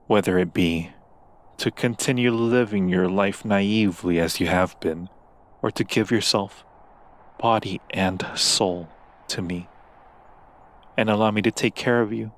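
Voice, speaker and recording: Man voicing a slow, low seductive demon. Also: close to an everyday voice. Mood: seductive, hungry. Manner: slow, silky persuasion with formal, deliberate wording. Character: seductive, coaxing male demon courting a mortal. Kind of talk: ASMR audio roleplay.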